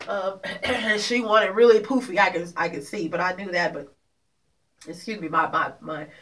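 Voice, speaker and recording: A woman's voice: on the low side, normal speed, a bit bright, far from the mic, a little hiss or hum. A woman talks casually to her viewers from her apartment, her voice nasal and congested. She halts, restarts and repeats words as she goes. She sits a little back from the camera mic, so the room comes through. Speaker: vlogger. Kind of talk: rambling casual vlog.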